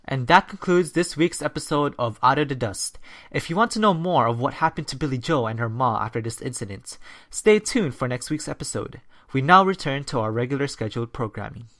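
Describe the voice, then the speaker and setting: A narrator and newscaster reading a 1930s-style radio story and news report in a steady, scripted way. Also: mid-range voice, brisk. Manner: steady, read-from-script delivery.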